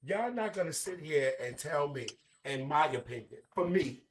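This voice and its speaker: A woman hosting a heated panel argument in a brash, profane, domineering way, with a voice she says is going hoarse. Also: deep voice, animated, moderate pace, a little hiss or hum. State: losing her voice. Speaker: host.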